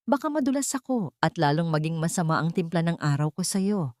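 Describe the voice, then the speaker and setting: A woman's voice: fairly low pitch, sing-song, a little fast, dark tone, dry recording, clean studio sound. A woman voicing a spoiled young rich mistress of a mansion, sneering, taunting and imperious, in an audiobook drama. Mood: contemptuous.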